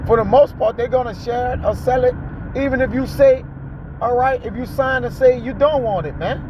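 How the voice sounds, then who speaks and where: A man's voice: very high-pitched, moderate pace. A man hosting a ride-along talk, reading aloud and commenting in a colloquial, exhorting way with frequent checking tags, recorded inside a vehicle. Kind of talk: preachy casual commentary, reading an article aloud and riffing. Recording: muffled audio with loud car noise. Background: car and road noise.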